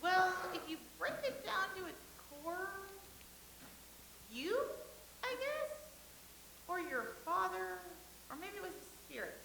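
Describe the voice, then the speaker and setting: A woman in a comedic staged reading playing an eager, defensive believer who is quick to argue. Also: very high voice, animated, creaky, sharp tone, full character voice. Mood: musing. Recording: hissy, roomy recording with noise.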